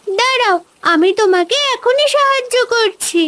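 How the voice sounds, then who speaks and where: Very high-pitched little village girl. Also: lively intonation, moderate pace, pushed and bright, a little hiss or hum, full character voice. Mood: urgent, caring. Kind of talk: cartoon voice acting.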